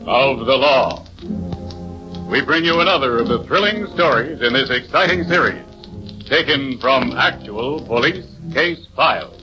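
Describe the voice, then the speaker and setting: Actor as male thriller announcer, solemn, portentous, slow delivery, vintage radio, dull sound, loud music bed. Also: mid-range voice, animated, lightly acted. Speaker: series announcer. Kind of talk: old-time radio crime drama announcing.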